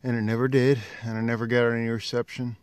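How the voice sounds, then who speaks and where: A man's voice: medium pitch, little pitch movement, fast, no room sound. A middle-aged man casually narrating a hiking vlog outdoors into a handheld phone. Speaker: hiker vlogger. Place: outdoors on a wooded trail and dam. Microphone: handheld phone at arm's length. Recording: clear outdoor background noise.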